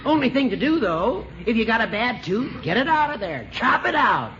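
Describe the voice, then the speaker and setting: An actor playing a crotchety, opinionated old judge in a 1940s radio comedy, brusque and needling. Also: male voice, extremely high pitch, very animated, normal speed. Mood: bossy, relishing. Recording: noisy, dull 1940s radio recording.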